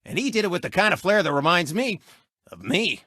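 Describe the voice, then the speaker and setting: A man's voice: sits high, sing-song, brisk, sharp tone, dry recording, clean studio sound, exaggerated voice. A man voicing a cocky, egotistical race car who hosts a TV racing show, with boastful, mocking showboat delivery in a video game. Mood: smug boasting. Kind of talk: animated video game sportscast patter.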